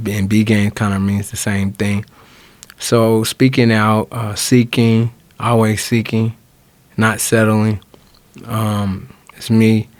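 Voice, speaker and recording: An American man talking casually on a podcast in halting, thoughtful phrases. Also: lowish voice, no echo. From Indianapolis, USA. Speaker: podcast guest, music artist. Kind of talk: casual conversational podcast introduction.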